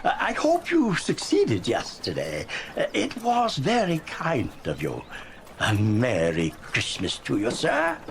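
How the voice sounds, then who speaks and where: An old miser turned kindly, in an audio drama. His delivery is very slow and drawn out, swooping wildly up and down as he gushes and stammers with delight. There is clear background noise around him. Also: mid-range voice, huge pitch swings, exaggerated voice. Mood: earnest, grateful. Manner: effusive, stammering, gushing.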